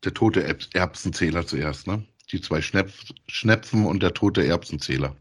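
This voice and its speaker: A man's voice: low-pitched, a little slow, husky. A man chatting casually as he co-hosts a review of audio dramas.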